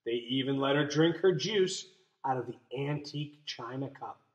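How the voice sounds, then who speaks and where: A young man reading a children's picture book aloud as a teacher, expressively, pausing to explain and ask questions. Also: medium pitch, highs cut off. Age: young adult. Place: small indoor room. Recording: roomy, echoey room sound.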